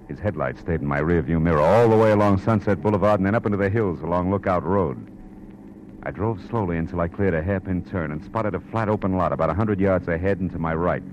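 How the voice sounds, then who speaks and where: An American actor playing a tough, wry private detective in a 1940s radio drama, with a clipped, sardonic delivery. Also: male voice, deep voice, muffled recording, noisy background, natural voice. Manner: hard-boiled, clipped, sardonic. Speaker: lead actor and first-person narrator. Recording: old radio broadcast with music behind.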